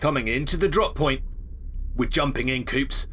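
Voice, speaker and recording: A cocky action-game squadmate, played by a man, with a very bright, hard-edged voice. He is brisk and matey while giving orders and urging his partner on. Faint radio-like noise sits behind him. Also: medium pitch, natural voice. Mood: brisk, commanding. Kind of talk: video game parody audio drama.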